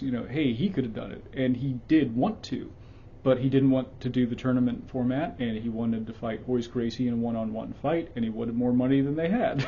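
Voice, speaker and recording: A man hosting a channel, reading a memoir excerpt in an even voice and then commenting casually with frequent fillers. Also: mid-range voice, slightly rough. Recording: dull lo-fi sound.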